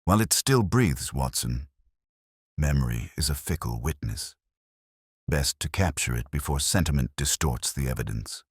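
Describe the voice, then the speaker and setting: A man voicing a calm, philosophical gentleman detective in an audiobook, speaking deliberately and with quiet reason. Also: a bass voice, slightly rough, no room sound, studio quality, exaggerated voice. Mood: reflective. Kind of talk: audiobook character dialogue.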